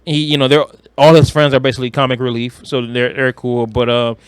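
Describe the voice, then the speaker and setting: High-voiced American man, casual podcast talker. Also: fairly quick, clean tone, no echo. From Detroit, United States. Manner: animated storytelling, slangy, profane, joking. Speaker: podcast co-host. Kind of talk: casual movie chat.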